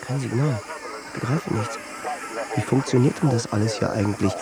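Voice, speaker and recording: A man's voice: medium pitch, moderate pace, close to an everyday voice. An actor playing a dazed, despairing man whose mind is crashing, speaking in bewildered fragments in a radio drama. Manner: short fragmented statements, bewildered. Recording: loud noise behind the voice.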